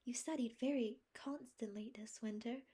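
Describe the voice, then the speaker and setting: A dreamy, emotional young woman, as a woman reader puts her on, talks in a high, breathy voice that often sinks to a whisper. Her husky tone sits well above the reader's own low voice. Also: fairly even intonation, moderate pace, mellow. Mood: eager. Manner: breathy, rapturous, at times whispering. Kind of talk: audiobook character voice.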